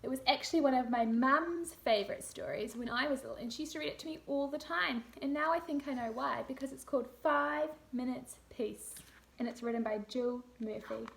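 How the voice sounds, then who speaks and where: A woman reading a picture book aloud to young children in a deliberate, animated storytelling manner. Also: high-pitched, vocal fry, a little hiss or hum. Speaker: teacher reading picture books to a class.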